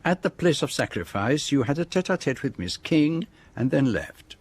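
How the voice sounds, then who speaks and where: A methodical radio play detective, precise and formal, probing, self-assured, medium pitch. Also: male voice, sing-song, normal speed, a little mellow, husky. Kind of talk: radio drama acting, monologue.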